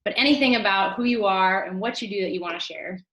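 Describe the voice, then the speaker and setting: A woman's voice: medium pitch, fast, highs cut off. Woman cohost on a video call, roomy echo, eager intro vibe. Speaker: podcast co-host. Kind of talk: casual interview over video call. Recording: echoey room over video call.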